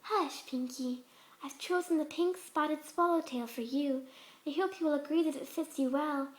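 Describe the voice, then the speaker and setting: A woman plays a female pegasus pony with a twisted love of her grim work, in a storybook horror reading. The voice sits very high and coos gently. It stays sweet and serene while sounding unhinged. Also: normal speed, close to an everyday voice. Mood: soothing.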